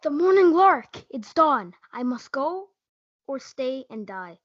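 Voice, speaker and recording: A passionate, hot-blooded young lover played by a young woman in a stage play reading. The voice is fairly high and close, with little room sound, on a dull, lo-fi recording. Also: sing-song, takes it slowly, no room sound, full character voice. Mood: urgent, tender. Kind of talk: student readers theatre performance. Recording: muffled lo-fi home recording.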